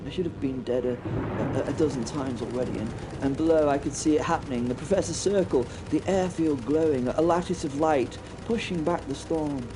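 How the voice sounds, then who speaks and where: A man's voice: on the high side, fairly quick, background noise, lightly acted. A man voicing a brash, cocky WW1 pilot, telling his tale wryly and breathlessly, with stammered restarts. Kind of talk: audio drama first-person narration.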